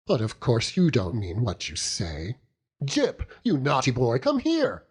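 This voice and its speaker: Coy young sweetheart played by a male reader, raised pitch, ingenuous and petulant, classic storybook style. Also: wildly swooping pitch, measured pace, husky, heavily acted. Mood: coy, scolding. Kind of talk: audiobook character voice.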